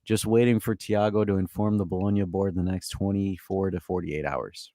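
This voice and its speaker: A man co-hosting a casual sports podcast, joking around and laughing often, getting loud when joking. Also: deep voice, steady tone, fairly quick, no echo, studio quality. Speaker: podcast co-host.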